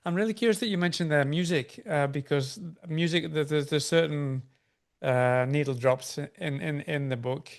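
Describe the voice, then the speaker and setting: Higher-voiced man asking rambling questions. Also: normal speed, vocal fry. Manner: rambling questions with repeated words, frequent backchannel agreement. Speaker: interviewer host. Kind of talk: casual interview questions.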